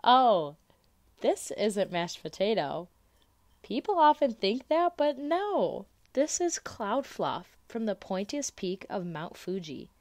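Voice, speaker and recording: Woman reading as a crafty boy, animated, unhurried. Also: medium pitch, dry recording. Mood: knowing, persuasive. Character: crafty, persuasive older brother, a young boy.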